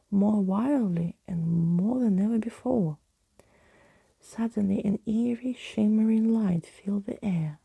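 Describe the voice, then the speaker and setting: A woman storyteller reads in an intimate ASMR whisper, close and dry on the mic. The delivery is slow and unhurried, with a dark, very soft tone. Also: medium pitch, vocal fry, husky, dry recording. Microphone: close microphone, dry.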